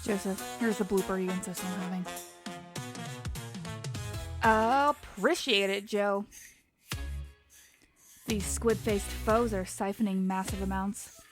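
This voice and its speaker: A woman's voice: medium pitch, takes it slowly, pauses often, over music. A woman speaking as a voice performer on a podcast.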